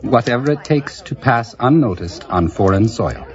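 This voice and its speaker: An actor playing a polite, bewildered alien visitor, formal and earnest, in an audio drama comedy. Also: male voice, medium pitch, animated, normal speed, close to an everyday voice. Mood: courteous. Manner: formal, earnest, hesitant phrasing. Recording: muffled audio with music and party crowd. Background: music, party chatter.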